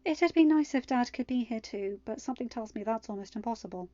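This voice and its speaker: A woman's voice: fairly high pitch, expressive, fairly quick, a little mellow, no echo, dull audio, voice pushed up, natural voice. A woman voicing a playful, affectionate teenage daughter in a storybook, teasing and informal. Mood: wistful.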